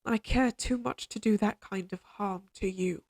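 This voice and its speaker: A woman playing a regal, possessive queen in an audio roleplay drama, speaking in formal, measured courtly phrases, tender yet controlling. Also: mid-range voice, darkish tone, close to an everyday voice. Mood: tender, reassuring.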